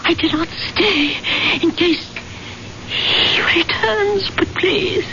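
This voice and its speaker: An actress playing a desperate, wraithlike lady in a vintage radio drama, pleading in halting, breathy phrases. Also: very high voice, heavily acted. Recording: muffled, very noisy vintage radio recording.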